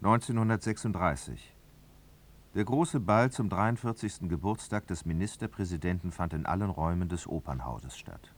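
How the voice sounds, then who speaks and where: A narrator of a literary radio drama speaks in a low register. He tells a satirical society novel in composed prose. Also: lively intonation, moderate pace. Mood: measured narration.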